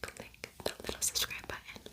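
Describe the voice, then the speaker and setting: A woman speaks entirely in a soft, breathy whisper. She hosts an ASMR-style makeup tutorial as a casual chat. Her manner is gentle and encouraging as she addresses the viewer directly. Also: normal speed. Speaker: ASMR video creator and makeup tutorial host.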